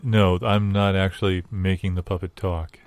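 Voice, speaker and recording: A man's voice: sits low, little pitch movement, moderate pace, darkish tone, smooth, no room sound, close to an everyday voice. A man playing an earnest, pedantic cheerful philosopher with a mild, hesitant manner in improvised audio comedy.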